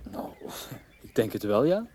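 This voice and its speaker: A man's voice: mid-range voice, sing-song, unhurried, mellow, a little hiss or hum. A man acting in a radio drama, playing a proud, cultured dwarf nobleman and father in an articulate, theatrical manner. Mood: reflective.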